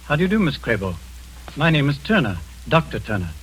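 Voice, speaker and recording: A composed man in an old radio play claims to be a doctor and speaks with calm, clinical authority, as an actor voices him. His delivery is measured yet lively in pitch, with faint hiss under the vintage recording. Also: poor audio. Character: composed middle-aged man posing as a doctor. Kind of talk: 1940s radio acting.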